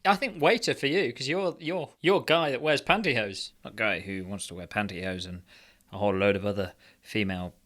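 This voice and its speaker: A man's voice: fairly low pitch, a little fast, a bit bright. A man co-hosting a podcast, talking in a casual, comic, conversational way. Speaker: podcast co-host. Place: indoors on a video call.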